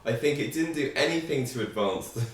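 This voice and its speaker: A man's voice: medium pitch, expressive, a little fast, crowd noise. A man chatting casually on a reaction video, thoughtful and searching for his words. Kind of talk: casual chat. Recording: very echoey sound from a distant mic.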